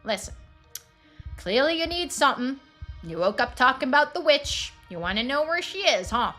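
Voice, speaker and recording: A woman's voice: high voice, very animated, moderate pace, a bit bright, faint music behind, pitched above the performer's own voice. A woman voicing a hot-tempered, threatening rural parent in a video game, with a folksy, foul-mouthed drawl. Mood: stern. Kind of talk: video game character dialogue.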